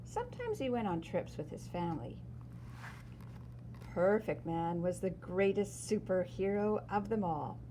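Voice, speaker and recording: A woman reads a children's story aloud expressively, with dramatic emphasis and pauses. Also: medium pitch, very animated, mellow, slight background noise, rough recording. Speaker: storyteller reading a picture book aloud.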